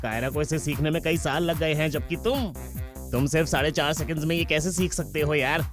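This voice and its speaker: A woman voicing a timid, sceptical young male sidekick in an animated comedy, hesitant, anxious and sarcastic. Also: low-pitched, fairly quick, over music, lightly acted. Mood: sceptical, grumbling. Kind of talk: animated comedy dub acting.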